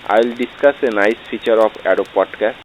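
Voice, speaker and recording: A man with a fairly low voice reads a scripted tutorial lecture. The sound has a vintage radio and vinyl effect. Faint hiss and crackle sit behind the voice. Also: a little fast, muffled recording. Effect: vintage radio filter with vinyl crackle. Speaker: tutorial narrator.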